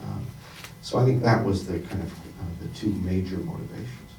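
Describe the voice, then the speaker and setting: A man on a panel answering in a reflective, halting way, full of ums and uhs. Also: deep voice, vocal fry, darkish tone, a little hiss or hum. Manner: reflective, halting, many fillers. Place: conference hall. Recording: roomy, distant conference hall mic.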